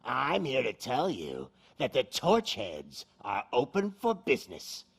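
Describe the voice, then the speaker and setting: A man's voice: medium pitch, wildly swooping pitch, a little slow, gravelly, fairly bright tone, slightly rough, heavily acted. A man playing a cocky, menacing gang boss in a video game, with a taunting showman's delivery. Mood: mock-announcer swagger. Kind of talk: video game villain acting.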